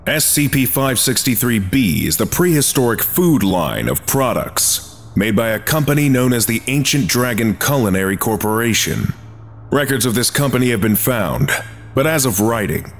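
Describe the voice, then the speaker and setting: Guy w a lowish voice reading spooky archive files, flat and clinical. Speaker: narrator. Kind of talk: scripted narration of archive files.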